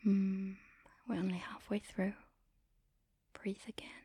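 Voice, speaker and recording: A woman speaking in an intimate, commanding and teasing erotic ASMR style, in a breathy whisper close to the mic. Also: medium pitch, flat delivery, takes it slowly, dark tone, dry recording. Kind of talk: erotic ASMR, guided audio roleplay.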